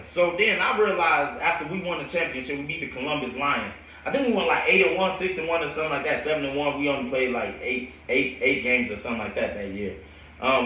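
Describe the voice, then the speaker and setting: An American man who coaches football, telling stories casually and in an animated, slangy, rambling way on a live stream. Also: on the high side. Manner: animated, slangy, laughs often. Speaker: football coach hosting a storytelling show. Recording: roomy echo, distant from the mic.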